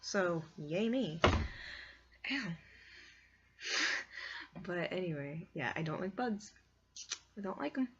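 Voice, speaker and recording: A woman's voice: fairly low pitch, takes it slowly, long pauses. A woman chatting casually and expressively like a video blogger, rambling along with "like" sprinkled through her sentences. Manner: expressive, squeamish, exclaims in disgust; heavy use of "like" as a filler. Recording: dull lo-fi sound with clear background noise.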